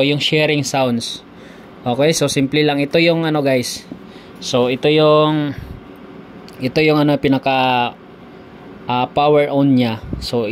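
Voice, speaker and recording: A man giving a casual, unscripted product tutorial, explaining haltingly with many repeats and fillers. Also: mid-range voice, slight background noise. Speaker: tutorial video host.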